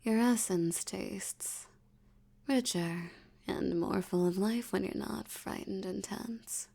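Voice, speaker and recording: A woman voicing a dominant, aristocratic vampire elder in an intimate audio roleplay, teasing and menacing, with a breathy voice that often drops toward a whisper. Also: medium pitch, dark tone. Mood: seductive, threatening. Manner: slow, teasing, menacing, with lingering pauses.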